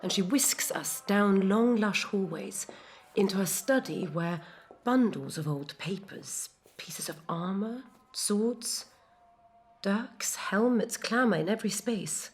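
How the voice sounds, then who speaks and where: A woman playing a spellbound storyteller in an audio drama, lingering over each detail in a hushed, evocative way. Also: medium pitch, animated, takes it slowly. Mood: hushed wonder. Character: spellbound female storyteller recalling a wondrous cluttered study.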